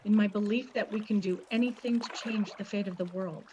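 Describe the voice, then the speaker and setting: A woman playing a calm, haunted mother in a radio play, soothing, earnest and cryptic, stubborn in what she believes. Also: medium pitch, fairly quick, slight background noise, lightly acted. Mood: earnest, downcast.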